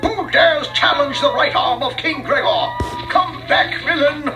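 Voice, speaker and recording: A man's voice: extremely high pitch, unhurried, echoey room, music in the background. A man voicing a pompous, blustering, easily frightened knight in a cartoon storybook. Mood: indignant.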